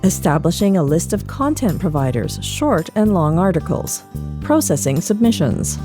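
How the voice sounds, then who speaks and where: A woman hosting a podcast in an animated, emphatic presenter style. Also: fairly low pitch, normal speed, faint music behind. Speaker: podcast host. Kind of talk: scripted podcast narration and interviewing.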